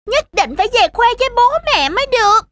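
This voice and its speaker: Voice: very high-pitched, sing-song, fast, fairly bright tone, exaggerated voice. A performer voicing a cautious, thoughtful little child in a children's cartoon dub. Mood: doubtful, thoughtful.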